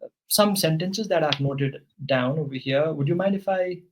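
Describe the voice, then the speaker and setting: A man's voice: a little high, normal speed, muffled recording, noisy background. A man working as an English tutor, patiently giving corrective grammar feedback in a one-on-one session.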